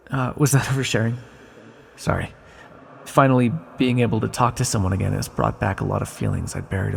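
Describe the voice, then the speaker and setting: Ancient male villain, acted by a man, ASMR roleplay, husky rough-edged voice, long echo, sly, lonely, flirtatious, intimate musing delivery. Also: mid-range voice. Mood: wistful, yearning. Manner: intimate, teasing, musing delivery with dramatic pauses.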